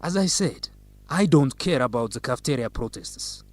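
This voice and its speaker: Guy acting slick student politician in a radio drama, measured n pushy, diplomatic, very dry studio sound. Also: mid-range voice, animated, no echo, close to an everyday voice. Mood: defensive, firm. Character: smooth, evasive male student politician, subtly pressuring.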